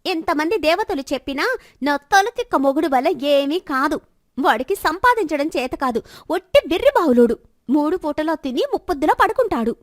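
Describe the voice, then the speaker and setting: Woman acting bitter, high-voiced, scolding village wife. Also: expressive, a little fast, no echo, clean studio sound. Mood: complaining. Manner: scornful, complaining, rhetorical questions. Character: bitter, sharp-tongued, childless village wife scolding her husband. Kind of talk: dramatized Telugu moral story.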